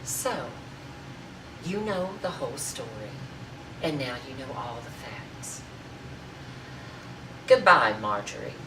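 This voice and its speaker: A proud Southern lady acted by a woman delivers an indignant tirade with grand, emphatic phrasing. She takes her time over the words, in a theatrical monologue style. The sound is distant and echoey, with clear noise. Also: extremely low pitch, sing-song, slow, long pauses, exaggerated voice. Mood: cool triumph. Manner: grandiloquent, emphatic, building declamation. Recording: echoey, noisy room, distant mic.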